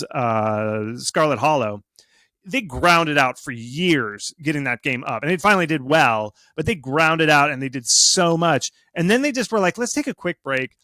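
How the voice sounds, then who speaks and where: Guy with a bright, highish voice chatting casually like an expert guest, animated and informal, really dry close sound. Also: dry recording. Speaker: guest game marketing expert. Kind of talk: casual interview talk, explaining and advising.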